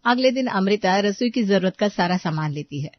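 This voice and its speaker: A woman's voice: medium pitch, expressive, moderate pace, no room sound, lo-fi sound. Woman narrating a story, dry sound.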